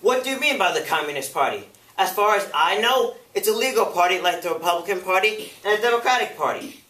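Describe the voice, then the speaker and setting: A man's voice: sits high, expressive, quick pace, sharp tone, voice pushed up. Man performing defiant activist witness, echoey and emphatic. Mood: challenging. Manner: emphatic, oratorical, challenging. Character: defiant, indignant male activist testifying before a committee. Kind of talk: dramatic prose interpretation. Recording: echoey room, picked up from far off.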